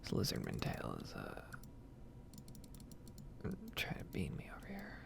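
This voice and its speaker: Woman, hushed ASMR gaming ramble, very gravelly vocal fry, breathy, casual, close mic, keys and clicks behind. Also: rumbling low, huge pitch swings, a little slow. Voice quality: breathy, soft-spoken whisper with heavy vocal fry. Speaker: gaming streamer and ASMR creator. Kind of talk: whispered ASMR gameplay ramble.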